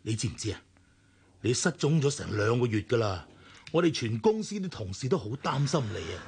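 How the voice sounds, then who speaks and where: An actor playing a kindly, anxious older uncle in a Cantonese radio drama, soothing and insistent, with a Hong Kong accent. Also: male voice, medium pitch, sing-song, fairly quick, a little mellow, breathy edge, heavily acted. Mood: relieved, insistent. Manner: soothing, fatherly, hesitant.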